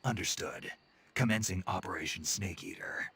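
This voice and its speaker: An actor playing a gruff, terse special forces soldier with an American accent and a gravelly, raspy voice in a video game. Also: male voice, low voice, moderate pace, background noise. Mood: subdued, determined. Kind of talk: dramatic video game cutscene acting.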